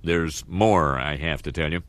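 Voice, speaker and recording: A man playing a pompous, self-pitying middle-aged school principal in an animated comedy, stiff and earnest. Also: rumbling low, measured pace, dry recording. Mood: bitter.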